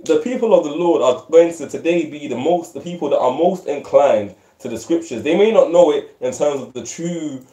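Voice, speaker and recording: A man's voice: a little high, a little fast, hardly any pauses, mellow. Emphatic, preachy man in an echoey room. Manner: emphatic, preachy, repeats "that's right". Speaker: discussion leader. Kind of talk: religious group discussion. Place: living room, men on a sofa.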